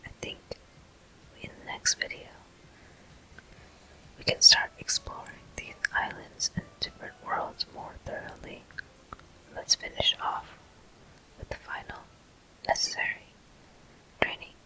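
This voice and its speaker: A soft ASMR whisper guides the listener through a video game. The voice is breathy, very bright and sibilant, with almost no voiced tone. Phrases come short and deliberate, unhurried, as a host would narrate gameplay. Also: rough recording.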